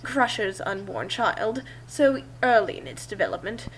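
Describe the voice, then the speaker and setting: A woman's voice: mid-range voice, lively intonation, a little slow, a little hiss or hum, low-quality recording. A woman reading a story aloud in a theatrical way, with casual asides. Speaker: reader and commentator.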